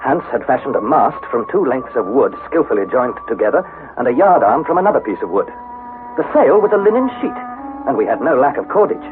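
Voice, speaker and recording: Actor as earnest young adventurer, swooping old-radio voice. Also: male voice, mid-range voice, very animated, fairly quick, over music, close to an everyday voice. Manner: formal, precise, dramatic storytelling. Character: Harry, earnest young adventurer narrating his perilous journey. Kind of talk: vintage radio drama narration and dialogue. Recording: tinny, noisy 1960s radio recording.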